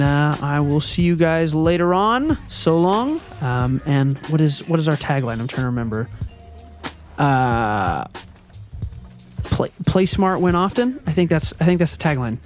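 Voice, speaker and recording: A man with a high, boyish-sounding voice records a casual voiceover update. He wanders from point to point, pausing on ums and correcting himself mid-sentence, with soft music playing faintly behind him. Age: adult. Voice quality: high-pitched, youthful-sounding voice. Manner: rambling, hesitant, many ums and self-corrections. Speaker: channel owner and video editor. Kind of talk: casual channel update monologue. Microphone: voiceover recorded before the gameplay footage.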